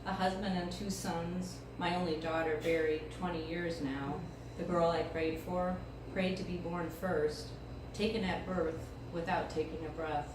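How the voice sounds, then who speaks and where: Middle-aged American woman, featured poet at a live reading, expressive phrasing, slightly low pitch, very echoey room. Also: unhurried, few pauses. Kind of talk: live poetry reading with casual introductions. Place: indoor live event space, mic far from speaker. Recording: distant, echoey room recording with clear noise.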